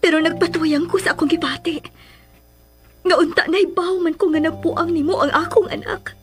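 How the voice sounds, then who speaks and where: A woman's voice: very high voice, fairly even intonation, moderate pace, a bit bright. An actress with a Filipino accent playing a troubled mother torn by love in a radio melodrama, emotional and pleading. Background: music.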